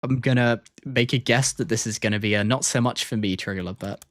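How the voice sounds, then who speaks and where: A man streams casual reaction commentary, musing aloud and often hesitating as he weighs his opinions. His voice sits at a medium pitch in a dry, close recording. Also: fairly quick, no room sound.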